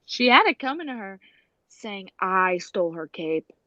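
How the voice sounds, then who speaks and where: A woman's voice: medium pitch, sing-song, unhurried, bright, forceful tone, dry recording, highs cut off. A woman playing a jealous, hot-tempered big cat trainer in a 1940s-style radio play. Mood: angry.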